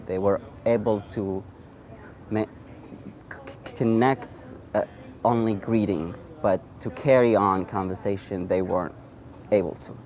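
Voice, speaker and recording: A man in his thirties from Iraq, an Assyrian speaker, telling his own story in an interview in a halting way, with frequent restarts. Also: on the low side, a little mellow, a little hiss or hum. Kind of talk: personal storytelling.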